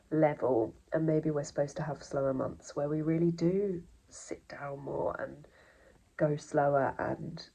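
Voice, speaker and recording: A young woman with a blocked nose, chatting casually and rambling in a soft voice that often drops near a whisper. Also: sits low, creaky, breathy edge, rough recording. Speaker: vlogger.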